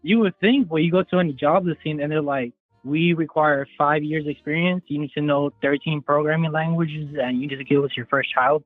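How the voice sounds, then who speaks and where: Caller, young man in his twenties, higher-pitched, chatty and rambling, heard through a thin phone line. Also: a little fast, few pauses, faint music behind. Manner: rambling, hedging with "like". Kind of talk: casual phone call.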